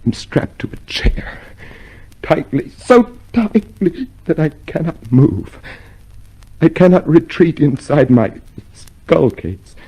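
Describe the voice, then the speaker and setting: An actor playing a tormented, broken prisoner in a vintage radio drama, halting and anguished as he repeats himself. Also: on the high side, sing-song, mellow, husky, heavily acted. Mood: numb dread. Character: tormented, broken, frightened yet defiant male prisoner. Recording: faintly noisy old radio drama recording.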